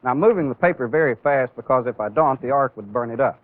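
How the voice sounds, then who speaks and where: A man giving an instructional demonstration as a training-film instructor, explaining deliberately step by step and stressing key words, on an old film soundtrack. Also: medium pitch, expressive, phone-quality sound.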